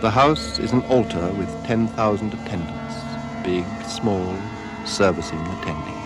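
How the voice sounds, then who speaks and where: An actor playing a solemn storyteller, narrating a literary radio drama in a measured, deliberate way. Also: male voice, low voice, over loud music. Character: solemn male storyteller observing an empty house.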